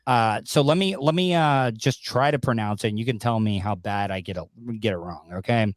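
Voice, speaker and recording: A man in his forties casually interviewing as a podcast host, rambling and halting, close on a studio microphone. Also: on the low side, no room sound. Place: small home studio with acoustic foam. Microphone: close studio microphone on a boom arm.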